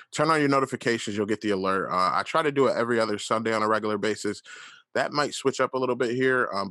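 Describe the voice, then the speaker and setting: Casting note: low-voiced man in his late thirties, bright and forceful, a candid and profane solo host. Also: no room sound, clean studio sound. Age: 30s. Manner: candid, profane, storytelling with lots of fillers. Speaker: podcast host. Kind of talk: casual solo monologue.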